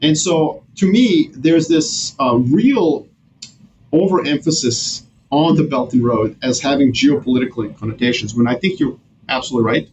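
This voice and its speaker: A man from Serbia, a guest on a podcast, talking in an animated, slangy way with many restarts. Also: medium pitch, normal speed, muffled recording, a little hiss or hum. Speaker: guest strategist. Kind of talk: casual podcast conversation.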